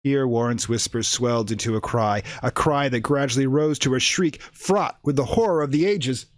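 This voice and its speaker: A man's voice: medium pitch, normal speed, dry recording, clean studio sound, natural voice. A man playing a haunted, horror-stricken man recounting his friend's doom in a tense, florid radio play narration. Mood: grim, tense.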